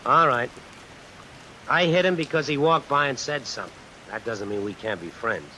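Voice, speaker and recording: A man's voice: medium pitch, normal speed, bright, forceful tone, slight background noise, natural voice, low-quality recording. A man acting a tough, vengeful drifter who narrates his own story in old-time radio suspense style, wry and slangy. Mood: wry, resolute. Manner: hard-boiled, wry, slangy. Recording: vintage radio recording under music, rain. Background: music and rain.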